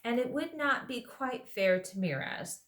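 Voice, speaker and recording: A young king, gentle and gravely courteous, speaking at a slightly raised pitch with a bright tone, as a woman puts him on. He sounds patient and measured. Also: expressive. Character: young noble male king, kind and grave.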